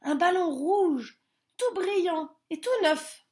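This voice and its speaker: A woman's voice: extremely high pitch, sing-song, a little slow, voice pushed up, exaggerated voice. A woman doing eager little rabbits. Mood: excited.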